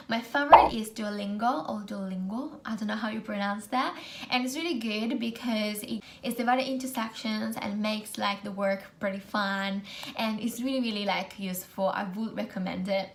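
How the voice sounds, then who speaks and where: A young Italian woman whose first language is Italian, giving casual advice in English to camera with an Italian accent, chatty and full of "like" and "basically". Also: medium pitch. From Italy. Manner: chatty, lots of "like" and "basically", non-native phrasing. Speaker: vlogger.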